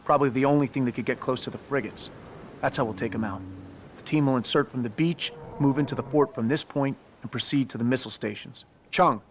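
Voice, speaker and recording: A man playing a brisk Navy SEAL commander in a military video game, clipped and authoritative. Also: medium pitch, a little hiss or hum, close to an everyday voice. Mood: decisive, businesslike. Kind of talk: cinematic military game acting. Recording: music behind the voice.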